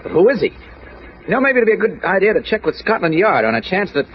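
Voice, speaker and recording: An actor playing a quick-witted, level-headed male reporter hero in a 1940s radio serial, explaining and coaxing. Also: sits high, sing-song, a little hiss or hum. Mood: reasoning, earnest. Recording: dull old radio broadcast recording.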